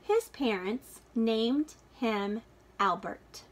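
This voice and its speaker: A woman reading a children's picture book aloud like a teacher, with expressive, deliberate and dramatic phrasing for young listeners. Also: a little high.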